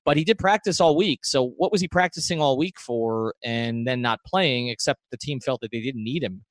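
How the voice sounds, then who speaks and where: A man's voice: mid-range voice, normal speed, no echo. A man hosting a sports podcast, giving conversational analysis in an emphatic way and repeating phrases for stress.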